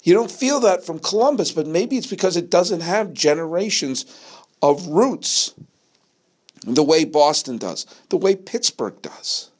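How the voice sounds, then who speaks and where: A man's voice: fairly high pitch, expressive, unhurried, a little mellow. An American man from New England giving an opinionated solo sports monologue, speaking emphatically and repeating phrases for effect. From New England, United States. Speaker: sports podcast host.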